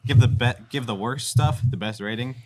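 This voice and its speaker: A man's voice: medium pitch, a little fast, darkish tone. A man joining in casual banter among a group.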